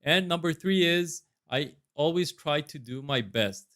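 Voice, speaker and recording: A man's voice: fairly high pitch, expressive, measured pace, sharp tone. A man in his thirties with a Costa Rican accent, earnestly and self-assuredly practicing his answers to residency interview questions on camera. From Costa Rica. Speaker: residency applicant.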